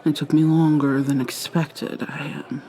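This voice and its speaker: A woman voicing an ancient vampire mermaid in an ASMR roleplay, speaking low and soft in a teasing half-whisper. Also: flat delivery, measured pace. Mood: guarded.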